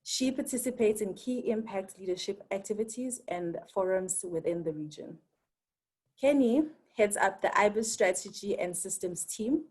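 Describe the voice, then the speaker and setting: A South African woman opens an online webinar, speaking in a measured, formal way from prepared notes. Her voice sits in a middle register, and she adds the odd filler as she reads. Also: medium pitch, darkish tone, breathy edge, rough recording. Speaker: webinar moderator. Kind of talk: formal scripted introduction.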